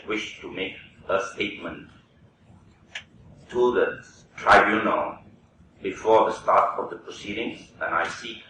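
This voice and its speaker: A man serving as counsel, addressing judges politely and haltingly in a formal hearing. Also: deep voice, bright, forceful tone, a little hiss or hum. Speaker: counsel at a tribunal. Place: large courtroom. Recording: very echoey courtroom, from far off.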